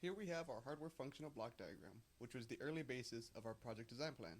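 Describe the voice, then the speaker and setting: A man's voice: mid-range voice, expressive, fast talker. A young man, an engineering student, reading a scripted technical presentation. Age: young adult.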